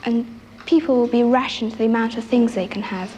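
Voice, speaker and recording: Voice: medium pitch, steady tone, brisk, darkish tone. A young girl giving casual answers in an interview. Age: child or teenager. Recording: faintly noisy 1960s archival interview.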